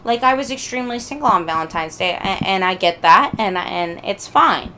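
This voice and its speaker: A young adult woman in casual, joking podcast chat, talking in an informal and animated way. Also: medium pitch, moderate pace, a little hiss or hum. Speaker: podcast guest and occasional co-host.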